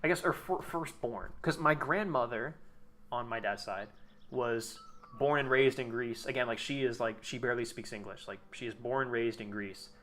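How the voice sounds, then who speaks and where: A man's voice: medium pitch, moderate pace, fairly bright tone. Rambling young American man, casual chat. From United States. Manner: rambling, loose, lots of fillers and restarts. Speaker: streamer. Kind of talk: casual chat while gaming.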